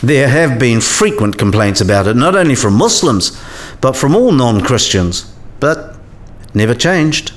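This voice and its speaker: A man acts out a cynical, sidelined professor in a radio play. His mid-range voice moves freely up and down as he explains, wry and didactic, in measured phrases. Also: mid-range voice, sing-song. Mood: dry lecturing. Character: cynical, sidelined male professor giving career advice.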